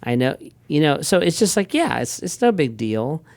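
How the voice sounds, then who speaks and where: Middle-aged man, pastor, smooth resonant voice, dry close sound, easygoing storyteller. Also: a little high, normal speed, no echo. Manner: relaxed storyteller, frequent restarts and quoted asides. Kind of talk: casual conversational talk.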